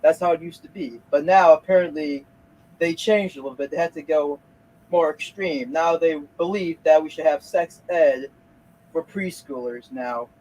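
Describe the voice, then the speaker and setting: A man hosting a reaction video, speaking in halting bursts with many restarts. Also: on the high side, darkish tone, lo-fi sound. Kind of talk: casual reaction commentary.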